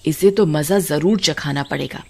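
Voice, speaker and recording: A woman's voice: deep voice, creaky, dark tone, slight background noise, voice pushed down. A woman voicing a cackling witch in a horror storybook tale, teasing and protective but menacing to bullies, over music. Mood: menacing, gloating. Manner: gloating, drawn-out threats, frequent laughing.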